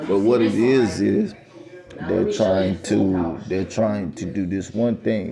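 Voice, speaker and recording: A man's voice: deep voice, gravelly. A man talking straight to camera in a rambling, insistent monologue, repeating himself for emphasis. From United States. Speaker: vlogger.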